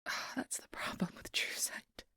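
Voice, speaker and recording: A woman's voice: moderate pace, sharp tone, no room sound, natural voice. A woman playing a possessive, manipulative fairy in an ASMR audio roleplay, imperious and desperate, often whispering her commands. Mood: rattled, pleading. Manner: whispery, commanding, swinging to pleading outbursts.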